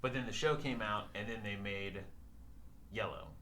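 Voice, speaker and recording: A man's voice: lowish voice, moderate pace, bright, forceful tone. A man co-hosting a casual podcast, bantering about films over some background noise. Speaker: podcast co-host. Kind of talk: casual film review banter.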